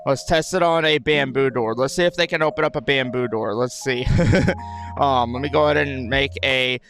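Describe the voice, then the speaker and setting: Male gaming host, mid-pitched, casual and rambling with repeated phrases, dry just-woke-up throat, music underneath. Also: normal speed, fairly bright tone. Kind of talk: casual game commentary.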